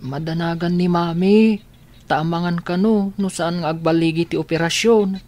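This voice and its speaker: A man voicing a gentle, worried young boy, a caring brother in an Ilocano radio drama. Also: fairly high pitch, steady tone, normal speed, close to an everyday voice.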